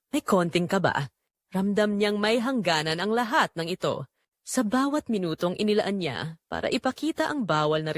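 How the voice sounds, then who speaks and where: A woman with a Filipino accent giving an expressive, measured, scripted narration of a dramatic story. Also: medium pitch, dry recording, clean studio sound.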